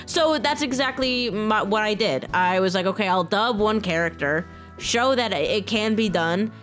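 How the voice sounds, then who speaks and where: A woman talking casually in a vlog announcement, informal and halting, often restarting her sentences. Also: medium pitch, fairly bright tone, faint music behind. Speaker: voice actor, software engineer and game modder.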